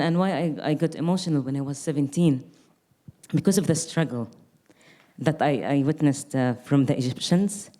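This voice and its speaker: Low-voiced young woman, earnest and reflective. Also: dark tone. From Gaza Strip. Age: young adult. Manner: reflective, earnest, with frequent restarts. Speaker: artist. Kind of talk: panel conversation.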